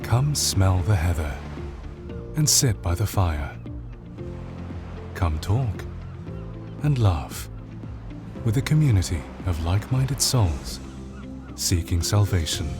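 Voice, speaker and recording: A man narrating a scripted drama trailer in a deliberate, inviting and ominous way. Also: low voice, sing-song. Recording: music bed behind the voice. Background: music.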